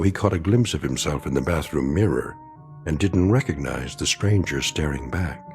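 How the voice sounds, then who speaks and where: A man narrating a scripted radio drama in a measured, grave and ominous manner. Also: sits low, darkish tone.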